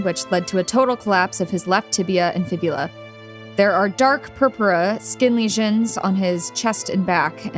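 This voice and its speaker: A woman acting a sober hospital doctor in an audio drama, mid-pitched and measured. Her delivery is clinical and matter-of-fact, with light music underneath. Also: natural voice. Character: grave, clinical female hospital doctor delivering bad news. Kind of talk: acted dialogue in an audio screenplay reading.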